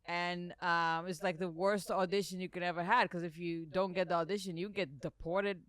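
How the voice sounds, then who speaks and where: A woman with an Israeli accent, a native Hebrew speaker and comedian, chatting haltingly on a podcast and groping for English words. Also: lowish voice, steady tone, fairly bright tone, no echo. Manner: halting, self-deprecating, searches for English words. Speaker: comedian guest. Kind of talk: casual podcast chat.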